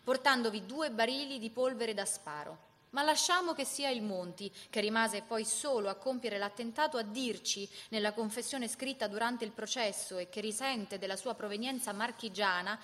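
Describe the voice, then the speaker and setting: A woman's voice: on the high side, normal speed, fairly bright tone. A woman reading scripted historical narration for radio theatre in a dramatic storytelling style.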